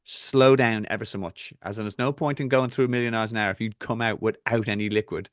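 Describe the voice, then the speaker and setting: A man's voice: on the low side, steady tone, no room sound, studio quality. A man with an Irish accent, a triathlon coach hosting a casual talk and giving direct, practical advice. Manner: direct, instructive, rapid asides.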